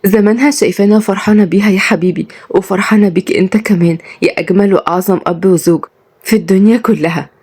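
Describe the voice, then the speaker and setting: Woman acting a husky, loving Egyptian wife. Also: fairly low pitch, animated, racing, dark tone. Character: gentle, loving young wife, mildly scolding older sister.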